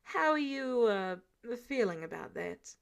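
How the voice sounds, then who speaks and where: A woman's voice: a little high, big pitch swings, unhurried, creaky, smooth, heavily acted. A woman voicing a wry, protective grown man, a superhero mentor with a casual, sardonic manner, in a story reading. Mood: careful, casual. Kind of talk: character dialogue in fan fiction reading.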